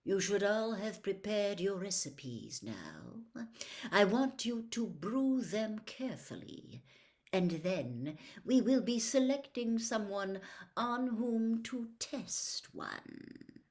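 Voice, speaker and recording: A menacing male potions teacher, acted out by a woman reading a storybook. He is cold and curt, delivering slow, deliberate commands with a snap. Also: medium pitch, big pitch swings, a little mellow, voice pushed up.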